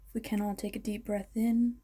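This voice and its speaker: A woman leading a guided meditation in an ASMR style, speaking in a ceremonial, measured and soothing manner. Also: medium pitch, level tone, warm tone, husky.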